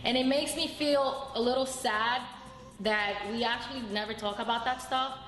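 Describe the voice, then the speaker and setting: Woman in an echoey hall over loud music. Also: fairly high pitch, fairly even intonation, normal speed. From Dominican Republic. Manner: earnest, reflective. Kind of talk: reflective interview clip.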